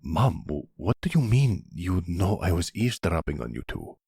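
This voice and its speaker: A man's voice: low voice, very animated, moderate pace, creaky, mellow, breathy edge, dry recording, studio quality, heavily acted. A man voicing a polite, nervous young grown son in a mafia ASMR roleplay, hesitant and stammering. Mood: nervous, defensive.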